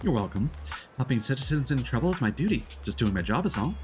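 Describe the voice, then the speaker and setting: A man's voice: medium pitch, sing-song, fast talker, pitched above the performer's own voice, natural voice. Man reading as a modest, polite police officer woman. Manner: polite, self-effacing. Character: modest, dutiful, kindly female police officer. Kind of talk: reading game dialogue aloud. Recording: music playing behind the voice.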